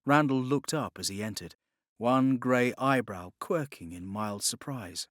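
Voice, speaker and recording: A man narrating scripted fiction as an audiobook reader, with measured, dramatic, expressive storytelling. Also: mid-range voice, no echo, clean studio sound.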